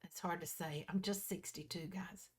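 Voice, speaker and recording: A woman's voice: lowish voice, fairly even intonation, normal speed, breathy edge. A woman in her early sixties chatting casually to her viewers in a folksy, candid way, often repeating and correcting herself. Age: early 60s. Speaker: vlogger. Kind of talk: casual personal chat to viewers.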